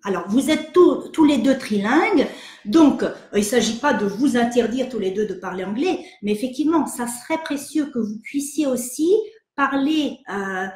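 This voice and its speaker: A woman psychologist from France hosting an online session, answering viewer questions in a hesitant, gentle way with many fillers and restarts. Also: on the high side, expressive, moderate pace, reverberant. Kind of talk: live webinar, reading and answering viewer questions.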